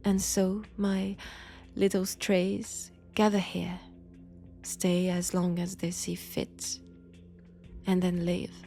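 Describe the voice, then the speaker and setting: A woman voicing a seductive, menacing ancient vampire in an intimate audio roleplay, with a French accent and a breathy delivery that dips into whispers. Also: lowish voice, completely flat delivery, takes it slowly, pauses often, mellow, soft background music. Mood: tender, possessive.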